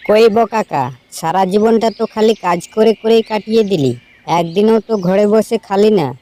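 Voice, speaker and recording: A woman's voice: mid-range voice, brisk, lightly acted. A woman voicing a spiteful village busybody in a cartoon, taunting and scornful. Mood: mocking. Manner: mocking, scornful.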